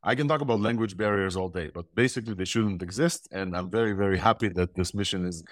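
A low-voiced man with a mixed Russian and Israeli accent hosts a tech talk show. He speaks with eager enthusiasm and rambles through restarts. The recording is close and dry. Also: fast, no echo. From Ukraine. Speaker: podcast host. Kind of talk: casual tech news talk.

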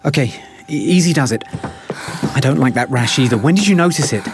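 An actor playing a worried, excitable husband and scientist in an audio drama, stammering with urgency. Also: male voice, medium pitch, fairly quick, music in the background, natural voice. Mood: forced calm.

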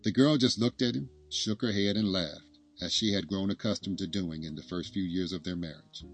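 A man's voice: sits low, normal speed, soft background music. A man reading a scripted story as its narrator.

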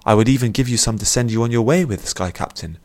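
A man's voice: medium pitch, fast talker, a little mellow, voice pushed up, natural voice. A man voicing a young princess in an audiobook, poised and playful, with formal, courtly phrasing. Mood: musing, teasing. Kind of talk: audiobook character voice.

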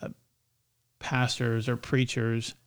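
A man with a slight lisp, chatting casually as a podcast host in a halting way, often restarting his phrases. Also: mid-range voice, monotone, clean tone. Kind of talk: casual co-hosted podcast chat.

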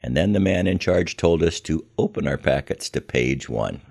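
An older American man telling a scripted first-person story in a measured, reflective manner. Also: a bass voice, lively intonation, vocal fry, darkish tone. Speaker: podcast host telling his memoir.

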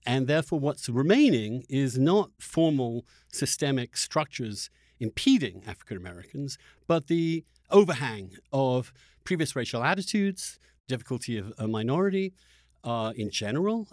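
A man's mid-pitched voice, recorded close and dry. He hosts a conversational political discussion, articulate and argumentative, with halting restarts as he searches for the exact phrasing. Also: darkish tone, no room sound. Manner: halting, searching for words with repeated starts. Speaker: interviewer, podcast host.

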